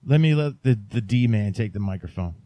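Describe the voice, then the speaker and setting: A man's voice: on the low side, expressive, moderate pace, warm tone, no room sound. Male podcast host, informal and digressive, very dry close sound, conversational delivery. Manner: informal, digressive, enthusiastic about indie writers. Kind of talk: casual rambling solo podcast talk.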